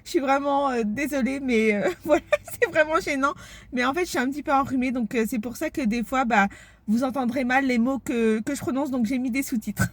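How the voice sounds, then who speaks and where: A woman's voice: high-pitched, a little fast. Stuffy-nosed woman chatting to camera. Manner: chatty, with frequent fillers. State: stuffy nose from a cold. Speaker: vlogger. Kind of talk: casual vlog talk to camera.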